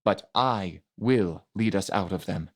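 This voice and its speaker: A man voicing a bold, passionate young prince in an audiobook, making deliberate, commanding speeches. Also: low voice, exaggerated voice. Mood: impassioned. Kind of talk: dramatic audiobook character reading.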